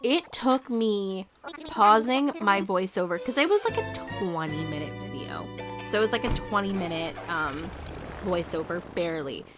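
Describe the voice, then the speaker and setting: Young woman streamer, rambly filler-heavy chatter, a bit hoarse, game music playing underneath. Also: medium pitch, lively intonation, moderate pace. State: recovering voice, slightly hoarse. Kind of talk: casual live-stream chat while gaming.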